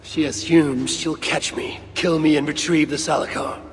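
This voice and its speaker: A resolute, lordly god played by a man in a video game cutscene. His speech is formal and measured, set a little high, and rings with long reverb over faint noise. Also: steady tone, lightly acted. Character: proud, resolute god, a father seeking his son.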